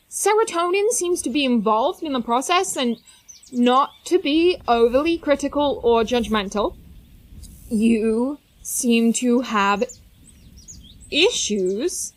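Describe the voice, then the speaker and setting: A woman's voice: high voice, poor audio. A woman playing a brainy, mischievous teenage superhero inventor in an audio drama, speaking in precise, wordy phrases. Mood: earnest, assured. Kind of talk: scripted audio drama acting.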